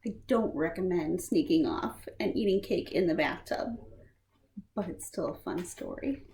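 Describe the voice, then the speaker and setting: A woman reading children's stories aloud in an expressive, deliberate storytime style, acting out the words as she goes. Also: fairly low pitch. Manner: animated, deliberate, acts out lines and sound words. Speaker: storytime reader for a library. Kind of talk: expressive children's picture-book read-aloud. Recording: roomy echo, faint background noise.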